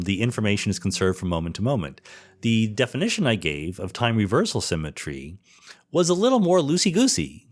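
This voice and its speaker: A man hosting a podcast, answering listener questions in an informal, explanatory way and correcting himself as he goes. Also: fairly low pitch. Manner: informal, didactic, self-correcting. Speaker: podcast host.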